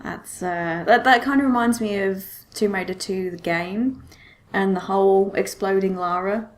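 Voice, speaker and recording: Woman with a mid-pitched voice, chatting casually. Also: normal speed. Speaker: podcast co-host. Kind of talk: casual podcast chat about games.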